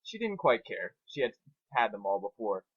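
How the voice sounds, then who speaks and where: A man's voice: fairly low pitch, sing-song, normal speed, husky, no room sound, highs cut off. A man co-hosting an informal dramatic reading, talking and narrating in an amateur, casual way. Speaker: reader and co-host.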